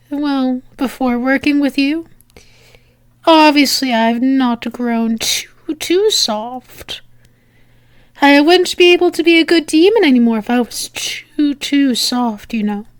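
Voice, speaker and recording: A woman playing a teasing, lovestruck female demon in an intimate ASMR roleplay, speaking haltingly with fond endearments. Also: sits high, no echo, studio quality. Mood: fond, reluctant.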